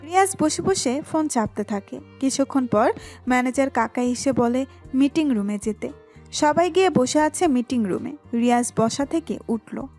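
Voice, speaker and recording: A woman's voice: high-pitched, expressive, moderate pace, a little mellow, soft background music. A woman narrating a scripted romantic audio story as its storyteller.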